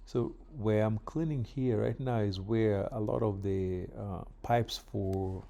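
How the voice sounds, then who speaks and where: A middle-aged man from Kenya chatting casually while doing yard work outdoors, with relaxed pauses and fillers. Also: on the low side, gravelly. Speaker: vlogger.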